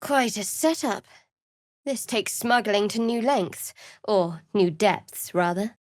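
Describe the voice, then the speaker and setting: An actress playing a guarded, sardonic young woman cleric devoted to a dark goddess in a video game, with dry, wry, measured delivery. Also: medium pitch, lively intonation, no room sound. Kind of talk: video game character voice lines.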